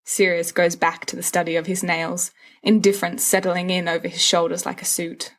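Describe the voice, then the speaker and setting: A woman's voice: lowish voice, little pitch movement, normal speed. Woman narrating a story. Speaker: volunteer audio fiction reader.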